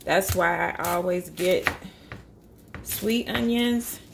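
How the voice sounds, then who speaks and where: Woman, fairly low voice, chatty and upbeat, home kitchen, faint room hum. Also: takes it slowly, gravelly. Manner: chatty, upbeat, thinks aloud with small fillers. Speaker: vegan cooking vlogger. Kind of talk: casual cooking narration.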